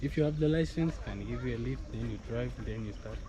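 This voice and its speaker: A man chatting casually outdoors, speaking haltingly and often repeating words. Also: mid-range voice, lo-fi sound. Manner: halting, repeats and restarts, soft-spoken. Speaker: local guide in conversation. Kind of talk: casual chat. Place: outdoor park. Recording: busy outdoor crowd around.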